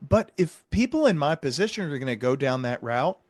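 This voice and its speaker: A man speaking as a medical provider and interview host, explaining his views in an earnest, measured, conversational way. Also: on the high side, sing-song, darkish tone, no room sound. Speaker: psychiatric provider and podcast host.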